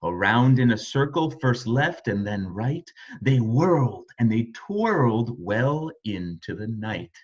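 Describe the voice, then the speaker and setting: A man reading a rhyming children's story aloud in a rhythmic, expressive way. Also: mid-range voice, slow pace, few pauses, muffled recording. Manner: rhythmic, animated reading of rhyme. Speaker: storybook reader.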